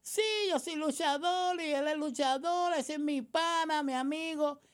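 A woman's voice: high voice, steady tone, unhurried, pitched above the performer's own voice. A woman imitating a boastful man who claims he and his buddy are wrestlers.

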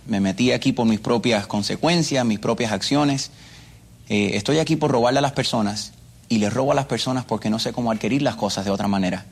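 A man's voice: medium pitch, fairly quick, breathy edge. A Cuban man with a Cuban Spanish accent, a guest earnestly telling his personal story and often repeating his phrases. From Cuba. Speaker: interview guest. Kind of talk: personal testimony.

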